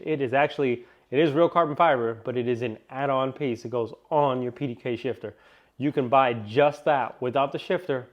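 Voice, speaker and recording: A man chatting through a casual car-parts unboxing, excitable and rambling, still a little sniffly from a cold. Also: mid-range voice, muffled recording. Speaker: car vlogger.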